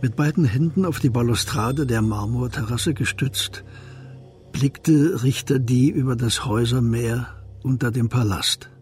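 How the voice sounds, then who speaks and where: A man's voice: mid-range voice, unhurried, a little mellow, slight background noise. A man narrating a German radio crime play in a scripted storytelling style.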